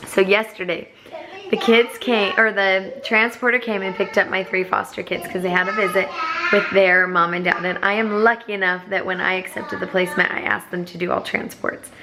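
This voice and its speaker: A hoarse young woman chatting to camera as a foster parent, rambling with many fillers. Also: mid-range voice, level tone, moderate pace, noisy background. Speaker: vlogger. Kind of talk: casual chat to camera.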